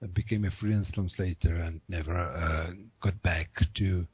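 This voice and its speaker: A man's voice: deep voice, steady tone, normal speed, breathy edge, no echo, muffled recording. A man with a Czech accent, a translator talking as a podcast guest, hesitant and full of fillers. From Czech Republic. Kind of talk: podcast interview.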